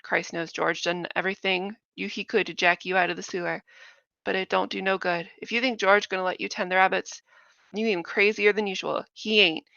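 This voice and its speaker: Een vrouw die speelt een spottend reuzenkonijn. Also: female voice, mid-range voice, steady tone, brisk, creaky, sharp tone, dry recording. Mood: scornful. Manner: scornful, taunting. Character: gigantic scornful rabbit, mocking and cruel. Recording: dull lo-fi dry recording.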